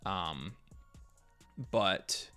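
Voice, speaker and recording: A man with a fairly low voice and an American accent hosts a casual live question-and-answer stream. He reads questions out, thinks aloud and gives short, conversational picks. Faint music plays behind him. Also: lively intonation, drawn-out words, sharp tone, smooth. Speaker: fantasy football livestream host.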